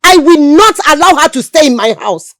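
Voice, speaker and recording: A woman's voice: high voice, lively intonation, a little fast, fairly bright tone, no room sound, studio quality. A woman with a Nigerian accent acting an indignant, scolding village woman in a film drama, firing off rhetorical questions. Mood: aggrieved.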